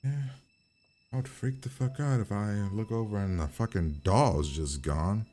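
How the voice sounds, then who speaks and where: A man's voice: lowish voice, normal speed, dark tone. A man giving casual, profane reaction commentary in a blunt, slangy way. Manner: blunt, slangy, swears constantly. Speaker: host reacting to a TV episode.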